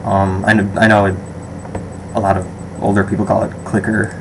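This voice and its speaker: A young man with an upstate New York American accent talks casually to the camera, rambling with frequent ums and restarts. His voice sits a little low. A faint hiss of background noise runs under the recording. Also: flat delivery, normal speed, creaky. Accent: western/upstate New York American. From western New York, USA. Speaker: vlogger. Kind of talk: casual survey vlog.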